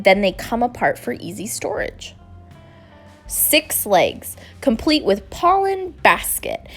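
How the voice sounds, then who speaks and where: A woman reading a children's storybook aloud in an expressive, deliberate way, acting out the dialogue. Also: medium pitch. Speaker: storybook reader.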